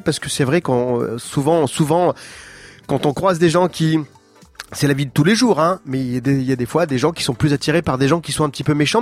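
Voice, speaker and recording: A male radio host with a slightly raised pitch chats along with his guest, stumbling and repeating words as he goes. Soft music plays behind him in the studio. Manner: chatty, hesitant, repeats words. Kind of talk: radio interview.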